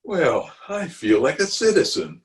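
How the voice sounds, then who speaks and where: A man's voice: a little high, big pitch swings. A man playing a blustery, genial middle-aged small-town uncle in a pompous, theatrical 1940s radio comedy style. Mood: smug. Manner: pompous, theatrical, with drawn-out hesitations and chuckles. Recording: music behind the voice, remote home recording.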